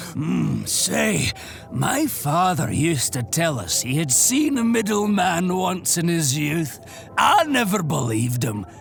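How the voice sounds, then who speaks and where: An actor playing a loud, greedy butcher merchant who shouts and cackles, in an audio drama with music under him. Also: male voice, fairly high pitch, big pitch swings, takes it slowly, creaky, exaggerated voice. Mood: boisterous.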